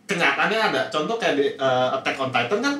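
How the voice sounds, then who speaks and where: A man with a fairly high, bright voice talks through his opinions in a casual, rambling way. He often doubles back on words, and the sound is roomy and a little distant. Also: expressive, a little fast. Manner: rambling, repeats words while reasoning. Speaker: podcast panelist. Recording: roomy, distant mic, faint noise.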